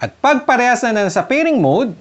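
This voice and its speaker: A woman's voice: on the high side, big pitch swings, brisk, lo-fi sound. A Filipino woman vlogger narrating a casual step-by-step tutorial. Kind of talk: tutorial narration mixing casual and instructional talk.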